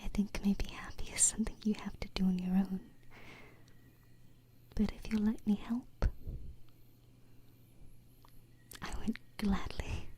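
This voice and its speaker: A woman voicing a gentle, wistful alien princess in a soft, hesitant whisper for an ASMR roleplay, close to a binaural mic. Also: medium pitch, little pitch movement, close to an everyday voice, rough recording. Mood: hopeful, tender. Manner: hesitant, tender, slow pauses. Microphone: close binaural microphone.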